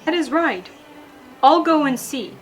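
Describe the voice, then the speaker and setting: A woman's voice: high voice, lively intonation, a little slow, soft background music. A woman voicing a worried, earnest father in a children's bedtime story. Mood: anxious.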